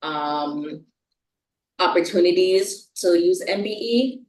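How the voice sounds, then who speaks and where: A woman's voice: on the low side, takes it slowly, darkish tone. A woman with a Jamaican accent presenting contracts at a board meeting, hesitant and full of fillers as she reads out figures. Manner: hesitant, many fillers and restarts while reading out figures. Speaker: staff member presenting contracts. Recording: roomy, far from the mic.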